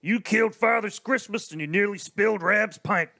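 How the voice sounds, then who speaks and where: A man's voice: high-pitched, normal speed, vocal fry, pushed and bright, no echo, heavily acted. A man voicing a laid-back male space-cowboy captain, with a folksy drawl and dry quips.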